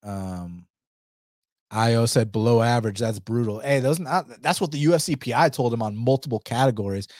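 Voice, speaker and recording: A man's voice: mid-range voice, moderate pace, a little mellow, no echo, studio quality. A young American man giving casual, profane fight-pick commentary, talking straight to his viewers. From United States. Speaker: MMA fight picks commentator.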